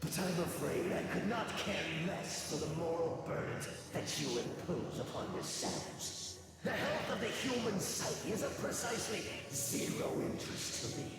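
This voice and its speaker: An actor playing a sinister, coldly arrogant nightmare spirit in a video game, with grandiose, formal, theatrical delivery. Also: male voice, sits high, sing-song, normal speed, vocal fry, hardly any pauses, far from the mic, heavily acted. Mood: coldly gloating. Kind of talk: video game villain acting. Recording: cavernous reverberant voice under loud music.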